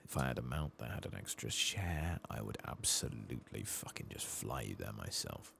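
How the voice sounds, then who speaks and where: Very deep, gravelly soft-spoken British man. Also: animated, normal speed, slight background noise. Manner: low-key, rambling, musing to himself. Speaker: gaming streamer, ASMR host. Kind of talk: soft-spoken ASMR game commentary, partly whispered.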